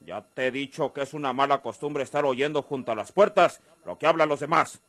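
A man's voice: medium pitch, a little fast, a bit bright, no echo, natural voice. Man acting a ruthless, commanding rural Mexican boss. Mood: stern, irritated. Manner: commanding, menacing, blunt. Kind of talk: Mexican radio drama acting.

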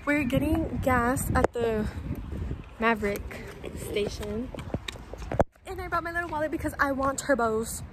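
Casting: American teen girl, high voice, bubbly slangy travel vlogger, recorded on a phone with clear background noise. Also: a little slow. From California, United States. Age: teens. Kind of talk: casual chatty vlog. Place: car, outdoor stops and hotel room. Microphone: phone at arm's length. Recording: noisy handheld phone, road and street ambience.

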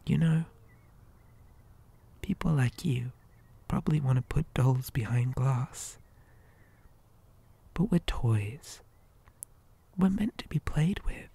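A woman playing a possessive living doll in an ASMR horror roleplay, gently coaxing with childlike menace in a breathy, hesitant whisper. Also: very deep voice, no room sound, full character voice. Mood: calmly menacing. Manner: soft, coaxing, slow and hesitant.

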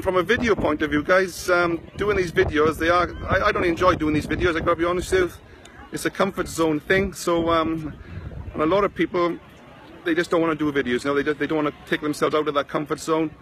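A man's voice: on the high side, fairly even intonation, fairly quick, fairly bright tone, slight background noise, low-quality recording. Chatty South Wales man on a beach. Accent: South Wales valleys. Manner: rambling, chatty, greets viewers by name. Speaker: online marketing vlogger. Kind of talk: casual Facebook live chat. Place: sunny beach outdoors. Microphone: phone held at arm's length.

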